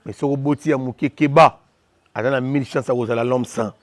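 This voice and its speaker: A man from Congo giving impassioned opinion commentary, talking fast and repeating himself as he switches between French and Lingala. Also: medium pitch, steady tone, dry recording.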